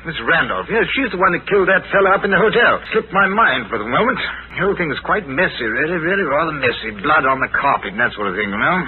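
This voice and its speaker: Dithering British police colonel, highish voice, pompous bluster, tinny noisy old radio. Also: male voice, sing-song, fast. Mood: vague, blustery. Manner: blustering, vague, repeats himself. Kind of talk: 1940s radio acting.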